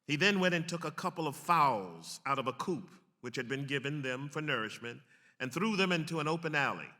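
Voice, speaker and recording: A man's voice: fairly high pitch, expressive, a little fast, bright, forceful tone. A man giving a grave, declamatory dramatic reading of a historical text, as an actor on a stage. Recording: echoey hall with long reverb.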